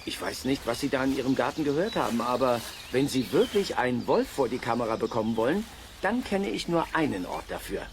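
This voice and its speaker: A man's voice: mid-range voice, big pitch swings, brisk, noisy background, natural voice. A man acting a skeptical, self-assured forest dog owner in a children's radio play, dry and dismissive in manner.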